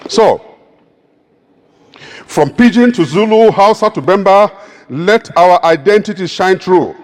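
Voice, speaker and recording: A man's voice: sits high, lively intonation, unhurried. A Nigerian man with an Igbo accent giving an emphatic, rousing public speech as a keynote speaker. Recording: long reverb of a large hall.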